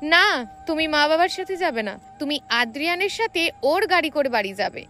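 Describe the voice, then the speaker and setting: A woman's voice: sits high, expressive, moderate pace, pushed and bright, soft background music. A woman narrator voicing a scheming, teasing young matchmaker in an audio story, casual and insistent. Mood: sly, insistent.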